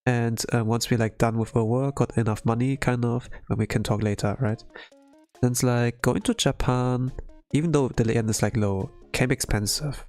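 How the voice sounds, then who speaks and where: A man's voice: medium pitch, steady tone, moderate pace, darkish tone. German young man streamer, casual rambling chat, faint music behind. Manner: rambling, many fillers.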